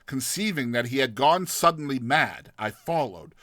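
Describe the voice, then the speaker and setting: A man narrating a literary short story in a theatrical, expressive storytelling manner. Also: medium pitch, moderate pace, dry recording.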